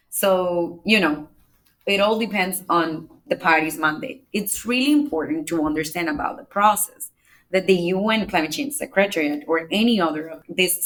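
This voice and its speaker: A woman from the Republic, giving measured step-by-step answers as a podcast guest. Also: on the low side, a bit bright. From Dominican Republic. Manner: measured, explains step by step with small restarts. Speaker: climate negotiator, podcast guest. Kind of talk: explanatory interview answers.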